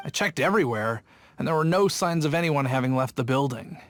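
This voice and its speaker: A man's voice: medium pitch, expressive, a little fast, a little hiss or hum, close to an everyday voice. An actor playing an earnest young male city gate guard who tells a story in an eager, rambling way, in game cutscene voice acting. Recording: game audio with faint music.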